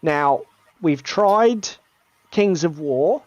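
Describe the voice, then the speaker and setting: A man with a strained, gravelly voice, co-hosting a casual hobby chat and poking fun at himself. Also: a little high, animated, drawn-out words, a little mellow, muffled recording, slight background noise. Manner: chatty, self-deprecating, swears casually. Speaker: co-host of a tabletop hobby stream.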